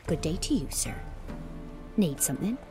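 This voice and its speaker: An actress playing a gossipy, complaining village wife in a fantasy game, with a rustic manner and a British accent. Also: female voice, low-pitched, very animated, moderate pace, over music. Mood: grumbling, chatty. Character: nagging, gossipy peasant wife. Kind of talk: video game voice acting.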